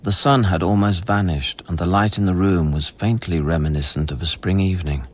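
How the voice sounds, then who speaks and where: Actor as a very deep-voiced, brooding, haunted scientist. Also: male voice, fairly even intonation, a little hiss or hum, natural voice. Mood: calm, resigned. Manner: brooding, measured, literary. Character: haunted, weary, introspective male scientist narrating his memories. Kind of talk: radio play first-person narration.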